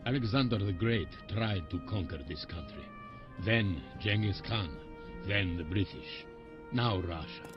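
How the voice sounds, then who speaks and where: A dull recording with music behind a low male voice. a grave, proud Afghan guide in a video game, telling things with solemn, measured weight. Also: lively intonation. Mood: grave pride. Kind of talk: video game cutscene acting. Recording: dull lo-fi sound under music.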